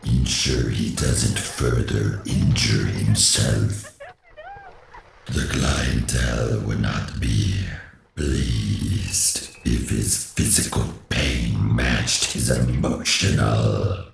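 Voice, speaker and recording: A sinister boss in an audio drama, with an extremely deep voice that crawls along very slowly. He is formal and menacing, and the sound is roomy with some noise. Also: steady tone, full character voice. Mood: cold command. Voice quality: very deep, gravelly. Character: sinister, cold, commanding crime boss.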